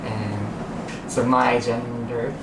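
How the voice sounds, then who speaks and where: A Japanese-accented man speaks at a middle pitch, halting as he looks for words in his second language. The recording is echoey, with loud noise in the background. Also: little pitch movement. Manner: halting, searching for words in English. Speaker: interview panel guest. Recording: echoey, distant microphone, loud background hum.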